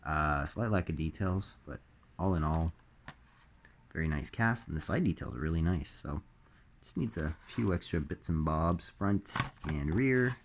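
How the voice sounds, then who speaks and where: A man with a slightly raspy voice while recovering from illness, hosting a casual unboxing, halting with many ums and uhs. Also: a bass voice, expressive, a little hiss or hum. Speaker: diecast collector host.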